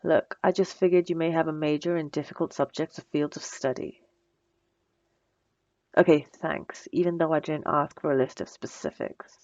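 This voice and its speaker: A woman playing a bossy, sarcastic supervillain with a soft spot, in a teasing, dry, conversational ASMR roleplay. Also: low voice, fairly even intonation, moderate pace, no room sound, highs cut off. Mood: bossy, sardonic. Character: female supervillain, bossy and sarcastic, secretly flustered by compliments. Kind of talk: ASMR roleplay audio drama.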